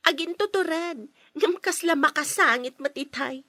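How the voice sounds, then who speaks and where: A woman's voice: high voice, moderate pace, sharp tone, dry recording. An actress playing a young daughter who eagerly gossips with her mother in a radio drama. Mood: gossipy.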